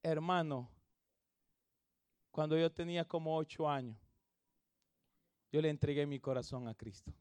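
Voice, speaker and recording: A man, preaching an evangelistic sermon and leading the congregation in reading, with phrasing that swells into shouts. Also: fairly high pitch, clear, resonant tone, no echo. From El Salvador. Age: 30s. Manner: calls everyone "hermano", drawn-out emphatic phrasing. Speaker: pastor.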